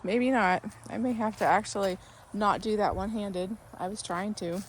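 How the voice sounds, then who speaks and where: A woman, slightly raspy from a sore throat, chatting casually through a garden vlog while filming outdoors on her phone. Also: medium pitch, fairly even intonation, normal speed, background noise. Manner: chatty, rambling with many ums. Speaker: vlogger, gardening channel host. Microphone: phone held in hand.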